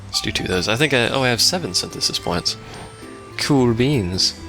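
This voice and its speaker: A man's voice: medium pitch, lively intonation, normal speed, sharp tone. A man giving casual, rambling gameplay commentary with dryly sarcastic asides, as a let's play host. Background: game music and sounds.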